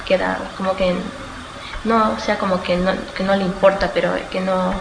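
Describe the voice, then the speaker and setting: An eighteen-year-old woman from Australia answers interview questions informally, haltingly searching for words and correcting herself. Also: medium pitch, flat delivery. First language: Spanish. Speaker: student being interviewed. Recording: echoey room with clear background noise.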